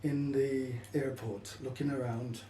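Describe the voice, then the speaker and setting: Older man, mellow mid-pitched voice, reflective interview storytelling, slightly hesitant, distant mic with faint noise. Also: steady tone, a little slow, roomy sound. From Caribbean, then England from childhood.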